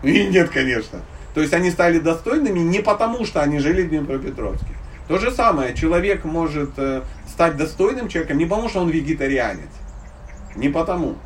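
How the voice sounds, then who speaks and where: Animated, higher-pitched man giving an informal lecture. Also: a little fast. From Dnipropetrovsk, Ukraine. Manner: conversational, blunt slangy wording, teaching through humorous allegories. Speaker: spiritual teacher giving a lecture. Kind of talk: informal religious lecture with audience questions. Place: live talk to a listening group. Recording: distant microphone, faint background noise.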